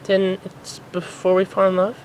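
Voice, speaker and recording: Man doing a high, hesitant pegasus mare. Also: monotone, moderate pace, slight background noise, natural voice, rough recording. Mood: tentative. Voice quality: falsetto. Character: confused adult female pegasus mare, scatty mother.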